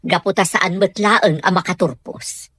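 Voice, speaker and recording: A woman's voice: on the low side, measured pace, a bit bright, breathy edge, no echo. A woman with an Ilocano Filipino accent playing a caring, worried middle-aged mother in an audio soap drama.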